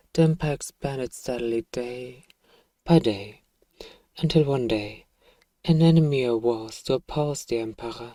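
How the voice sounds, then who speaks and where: A man acts out an evil emperor in a bedtime audio role-play. The voice is dark and mellow, recorded close and dry. He speaks softly and formally, a measured, fatherly ruler who is quietly sure of his own righteousness. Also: mid-range voice, dry recording, natural voice. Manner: gentle, measured, formal, persuasive. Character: evil emperor father, soft-spoken, paternal, self-righteous.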